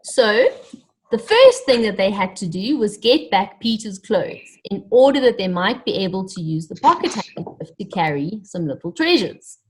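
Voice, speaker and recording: A woman reading a story aloud to children, animated and encouraging, pausing to ask them questions directly. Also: mid-range voice, husky, low-quality recording. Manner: animated, encouraging, addresses young listeners directly.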